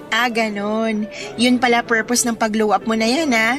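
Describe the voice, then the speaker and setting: Timid Filipino teen sidekick, high voice, unhurried and understated, radio drama over music. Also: female voice, fairly bright tone. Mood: mildly doubtful. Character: shy, overlooked teenage schoolgirl, loyal best friend. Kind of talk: radio drama acting in casual speech. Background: background music.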